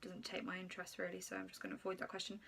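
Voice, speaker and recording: A woman's voice: lowish voice, monotone, rapid-fire, gravelly, a bit bright, husky. A woman in her twenties with Polish as her first language, chatting casually as she reads out and answers get-to-know-me questions with plenty of ums. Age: 20s. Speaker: YouTuber.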